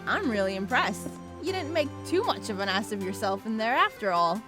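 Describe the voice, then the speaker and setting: A woman's voice: medium pitch, lively intonation, a little fast, music in the background, lightly acted. A woman voicing an exasperated, sardonic senior lawyer and mentor in a comedic cartoon parody. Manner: dry, impatient, sarcastic.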